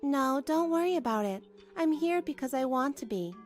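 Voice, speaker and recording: A woman's voice: high voice, animated, moderate pace. A woman playing an anxious, earnest young wife who narrates her own troubles in a dramatised manga story. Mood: worried. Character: put-upon young wife, anxious, earnest, long-suffering. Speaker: narrator and lead of a manga dub. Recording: music behind the voice.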